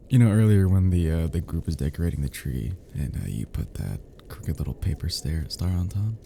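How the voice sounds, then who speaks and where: A man playing a cozy, teasing young male friend who is sweetly smitten, in an intimate ASMR roleplay, murmuring softly and hesitantly with chuckles. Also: very deep voice, expressive, moderate pace, gravelly, pauses often, a little hiss or hum, exaggerated voice. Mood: fond, amused.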